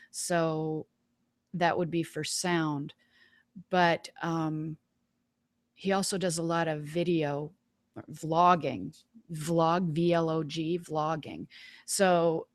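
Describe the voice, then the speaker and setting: A woman hosting a webinar, giving a casual live software demonstration in an informal, folksy way, thinking aloud. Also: low voice, no echo. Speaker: webinar host.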